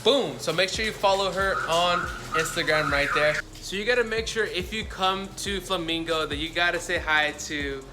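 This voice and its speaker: A man vlogging a walking tour of a hostel, chatty and energetic, often calling out to viewers as guys. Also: high-pitched, moderate pace, hardly any pauses, over loud music. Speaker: travel vlogger. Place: hostel, moving between rooms.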